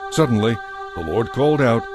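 A man narrating a dramatized audio Bible as a scripted story, measured and solemn. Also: medium pitch, expressive, music in the background.

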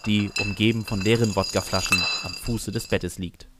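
A man's voice: on the low side, steady tone, normal speed, noisy background. A man reading a scripted first-person narration for a fan fiction audio drama.

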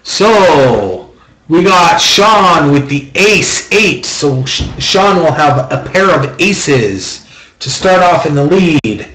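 A man's voice: a little high, animated, takes it slowly, a bit bright. A man hosting a card-break auction, giving methodical, repetitive running commentary on a card deal in a small room. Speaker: host of a trading-card box-break auction. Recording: roomy echo.

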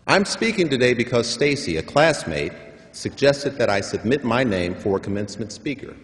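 An older man delivering a formal commencement address, measured and emphatic, building up to stressed points. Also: mid-range voice, big pitch swings. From San Francisco. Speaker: commencement speaker. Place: large hall at a graduation ceremony. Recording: long hall reverb.

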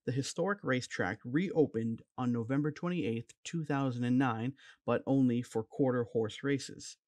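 A man reading a scripted documentary narration in an even, measured way. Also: medium pitch, dry recording.

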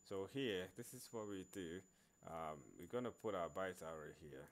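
A man narrating an informal programming screencast in a halting way, repeating words as he works. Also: sits low, expressive. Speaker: programming tutorial presenter. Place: screen recording voice-over.

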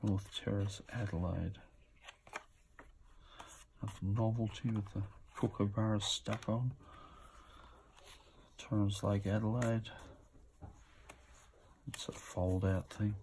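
A man's voice: on the low side, steady tone, slow, pauses often, a little mellow, a little hiss or hum. A man giving casual, off-the-cuff commentary as he presents auction items, musing to himself as he goes. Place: indoors at a table.

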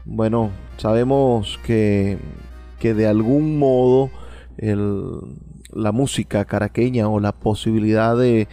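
Mellow, low-voiced man. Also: fairly even intonation, slow pace, soft background music. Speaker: radio host. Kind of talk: informal music commentary with reflective asides.

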